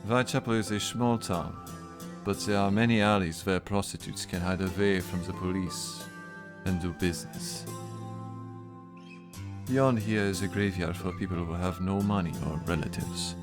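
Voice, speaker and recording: A man playing a calm, serious Victorian investigator in a radio drama, measured and matter-of-fact. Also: low-pitched, natural voice. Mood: grave. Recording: music behind the voice.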